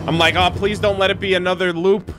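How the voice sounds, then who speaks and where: A man's voice: high voice, little pitch movement, fast talker. Casting: thirties man, streamer type, wry and jokey off-the-cuff reactions over faint game music. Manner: joking, sarcastic. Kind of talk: casual reaction commentary. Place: small room indoors.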